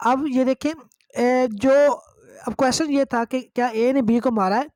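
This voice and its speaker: A woman's voice: high-pitched, steady tone, measured pace, a little mellow, dry recording. A woman with a Pakistani accent lecturing on law, reading out phrases and explaining them didactically, switching between two languages and repeating herself. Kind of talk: lecture reading statute in English and explaining it line by line.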